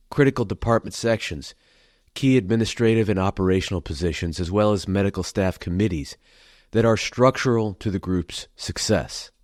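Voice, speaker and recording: A man hosting a podcast, reading a written intro and then asking his guest questions in a measured, earnest way. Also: lowish voice, a little mellow, no echo, studio quality. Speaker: podcast host. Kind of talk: scripted intro and interview questions.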